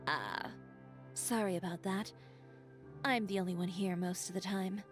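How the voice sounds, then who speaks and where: An actress playing a proud, headstrong young female tailor who speaks in a calm, measured, reflective way in a video game. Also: fairly low pitch, lightly acted. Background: game music.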